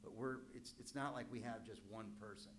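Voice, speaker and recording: A man serving as mayor, explaining a contract at a council meeting in a measured, halting way with many ums. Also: medium pitch, steady tone. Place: council chamber. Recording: loud background hum.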